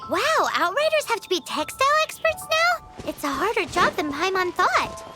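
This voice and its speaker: A woman voicing a tiny, excitable fairy-like companion who talks about herself in the third person. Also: very high voice, moderate pace, over loud music. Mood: curious. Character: tiny chirpy floating fairy-like companion girl.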